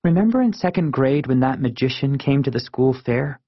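A man's voice: medium pitch, lively intonation, moderate pace, no room sound, muffled recording, close to an everyday voice. A man voicing a frank, spirited young schoolgirl in an audiobook, speaking emphatically and earnestly. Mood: reproachful, wistful.

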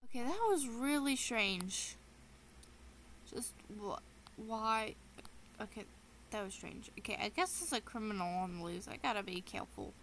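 A woman's voice: mid-range voice, normal speed, a bit bright, slight background noise. Chatty woman voicing a game roleplay. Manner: chatty, rambling, lots of "um". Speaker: channel host voicing her own roleplay character.

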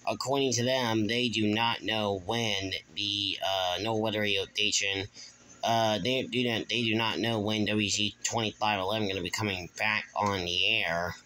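Halting man, bright forceful voice. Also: on the low side, fairly even intonation, slight background noise, poor audio. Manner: halting, repetitive. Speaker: video host. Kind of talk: casual show-and-tell narration. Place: room at home at night.

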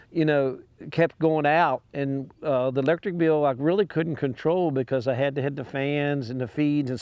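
Older farmer, plainspoken, dry close sound. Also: male voice, fairly high pitch, darkish tone, dry recording. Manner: plainspoken, halting with "uh" and "you know". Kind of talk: interview. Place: outdoors by a farm building.

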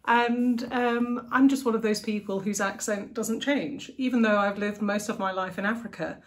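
A woman's voice: medium pitch, steady tone, normal speed. A woman in her forties with a posh English accent, hosting a reflective solo talk from her living room with frequent ums and light laughs. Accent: posh southern English. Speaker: podcast host. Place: living room at home, indoors.

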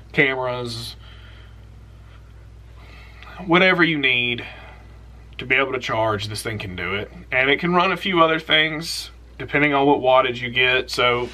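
A young man hosting an outdoors channel, recommending camping gear in a relaxed, rambling, enthusiastic way from a small room. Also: medium pitch. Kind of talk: casual product recommendation vlog.

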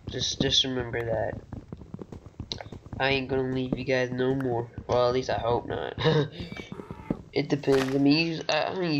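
A teenage boy with a recently deepened voice, rambling and chatty as he gives casual commentary over his own gameplay. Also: noisy background. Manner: rambling, chatty, laughs often. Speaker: gaming channel host.

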